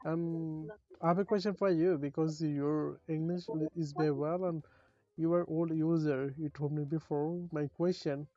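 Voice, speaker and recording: A man in a casual voice-chat app, speaking halting, non-native English and asking many questions. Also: a little high, fairly even intonation, dark tone, dry recording, highs cut off. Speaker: language learner in voice chat app.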